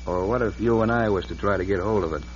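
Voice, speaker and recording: A ruthless, scheming frontier land agent played by a man, American, with a lowish voice and a folksy conspiratorial drawl. It comes from a dull, clearly noisy vintage western radio show. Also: fast talker, natural voice. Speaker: radio actor. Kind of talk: old-time western radio drama acting. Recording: band-limited, noisy old radio recording.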